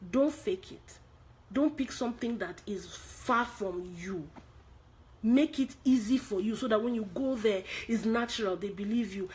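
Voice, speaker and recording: A woman with a Nigerian accent coaches actors in an online lesson. Her voice sits fairly high, and she drives each point home by repeating it and asking the audience direct questions. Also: poor audio. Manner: emphatic, repeats key points, asks rhetorical questions. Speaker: acting coach. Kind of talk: online acting lesson to viewers.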